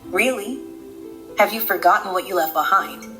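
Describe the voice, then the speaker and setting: A woman playing the calm, ominous, enigmatic guide of a virtual simulation in a radio drama, speaking in a measured, solemn way. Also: medium pitch, soft background music, close to an everyday voice.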